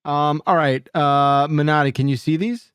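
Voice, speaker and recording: A man's voice: fairly high pitch, normal speed, fairly bright tone, clear, resonant tone, no echo. Man, podcast host, dry wit, casual banter, dry close sound. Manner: wry, dry jokes while steering the show. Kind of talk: casual chat.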